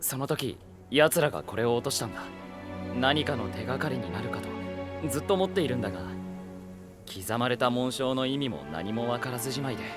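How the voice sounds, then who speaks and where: An actor playing an earnest, eager young male adventurer in an expressive, boyish anime game style. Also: mid-range voice, brisk, over music, natural voice. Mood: somber, determined. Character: earnest, excitable young adventurer searching for his kidnapped mother. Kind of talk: dramatic anime game voice acting.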